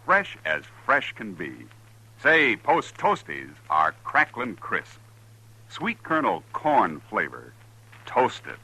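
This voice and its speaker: Highly animated male radio announcer. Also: poor audio. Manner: emphatic, salesmanlike. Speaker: radio announcer and sponsor pitchman. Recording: husky old radio broadcast, faint music.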